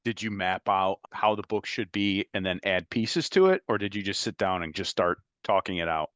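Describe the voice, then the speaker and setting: A middle-aged man with a very low, deep voice. He speaks reflectively in a relaxed interview and chuckles through his stories. The sound is close and dry, with no room around it. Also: moderate pace, no echo. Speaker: guest, former FBI agent. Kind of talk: conversational interview.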